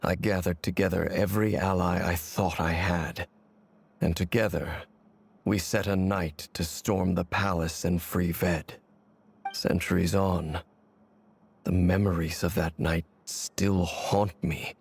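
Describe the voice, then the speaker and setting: An actor playing a grave, haunted ancient wanderer in a game, speaking in a measured, formal way. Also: male voice, deep voice, flat delivery, gravelly, breathy edge, heavily acted. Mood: bitter, haunted. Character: brooding ancient male wanderer haunted by betrayal. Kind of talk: dramatic game voice acting.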